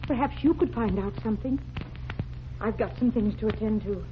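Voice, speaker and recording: An actress playing a devoted, grieving secretary, mournful, solemn and protective, in 1940s radio melodrama style. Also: female voice, medium pitch, normal speed, lightly acted. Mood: mournful, haunted. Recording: noisy, muffled vintage 1940s radio recording.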